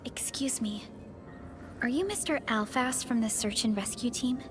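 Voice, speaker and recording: A woman's voice: fairly high pitch, normal speed, natural voice. A woman playing a composed, courteous young supervisor in a video game. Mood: composed, polite. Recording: music behind.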